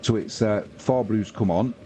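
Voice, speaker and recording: A man's voice: fairly low pitch, normal speed, warm tone, slight background noise. A man doing informal, matey live rugby league commentary on a stream, mixing play-by-play with chatty asides to viewers. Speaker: sports livestream commentator and host.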